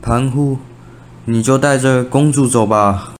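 A man's voice: medium pitch, fairly even intonation, measured pace, a little hiss or hum, close to an everyday voice. A young man with a Taiwanese Mandarin accent playing a doting, indecisive king in a student radio drama. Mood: resigned. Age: young adult. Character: old-time king, doting father, indecisive ruler. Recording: music bed behind voice.

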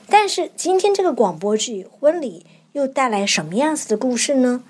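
A woman's voice: mid-range voice, darkish tone. Woman radio host in conversation. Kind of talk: conversational interview hosting.

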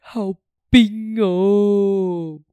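A woman voicing a mild-mannered male big bad wolf in a children's story, slow, sheepish and plaintive. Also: medium pitch, steady tone, clean tone, no room sound, lo-fi sound.

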